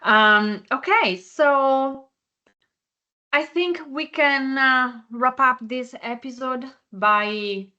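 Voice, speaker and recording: A woman hosting a podcast in a conversational, agreeable way, speaking haltingly as she puts her thoughts together. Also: sits high, bright, forceful tone, highs cut off. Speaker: podcast host.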